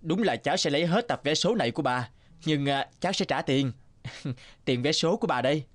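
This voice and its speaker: A man voicing a burly, easygoing young boss with a gangster air in an animated drama, casual and self-assured. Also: a little high, brisk, no echo, close to an everyday voice. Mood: firm. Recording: studio voice over background music.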